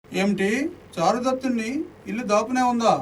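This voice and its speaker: An actor playing a swaggering, smooth-talking companion of a villain in a radio play, mocking and flowery. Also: male voice, very high voice, normal speed, far from the mic, a little hiss or hum. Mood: curious. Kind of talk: radio play acting in Telugu.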